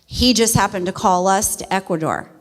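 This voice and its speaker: A middle-aged woman from West Virginia, a missionary speaking to a church congregation in a folksy, animated way. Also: mid-range voice, moderate pace. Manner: folksy and animated, laughs easily. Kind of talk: church testimony talk. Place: church sanctuary. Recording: long reverb of a church hall.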